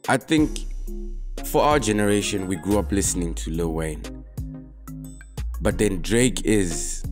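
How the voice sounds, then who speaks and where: A young man from Zimbabwe, a rapper answering questions about himself in relaxed, casual talk. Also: fairly low pitch. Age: young adult. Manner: relaxed, laid-back, drops in "man" often.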